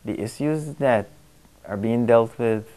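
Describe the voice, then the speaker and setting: A man from Guyana who answers interview questions thoughtfully, pausing often with "um" as he searches for words. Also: mid-range voice, warm tone. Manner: thoughtful, halting, with many pauses and "um"s.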